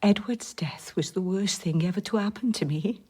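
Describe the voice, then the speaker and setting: A woman's voice: mid-range voice, moderate pace, mellow, breathy edge, natural voice. An actress playing an effusive, sentimental older woman with a Welsh accent in a radio drama, lingering on her words. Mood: sentimental, coy.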